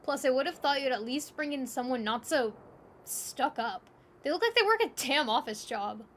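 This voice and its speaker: A woman voicing a short-tempered, gruff young man who swears and talks abrasively in an audio drama, over background noise. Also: high-pitched, expressive, normal speed, voice pushed up. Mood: gruff disdain. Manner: swearing, brash, loud and abrasive. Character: foul-mouthed, short-tempered, gruff young male grump.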